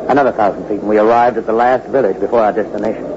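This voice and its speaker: A man's voice: fairly low pitch, steady tone, racing, heavy background noise, lightly acted. An actor playing a tough, greedy fugitive who tells his crime tale in a hard-boiled, slangy 1960s radio suspense style. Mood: wry, wary. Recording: dull old radio recording with music.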